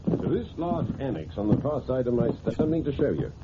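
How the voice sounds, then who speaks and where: An actor playing a precise, self-assured wealthy broker in an old radio drama, speaking formally and deliberately. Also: male voice, mid-range voice, very animated, lightly acted. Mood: insistent, composed. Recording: tinny, noisy old radio recording.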